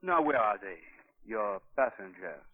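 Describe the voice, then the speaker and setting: Suave, calculating police inspector, lo-fi. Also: male voice, lowish voice, very animated. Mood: coolly menacing. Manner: formal, measured, silkily menacing. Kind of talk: 1940s radio drama acting. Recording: dull lo-fi 1940s radio broadcast.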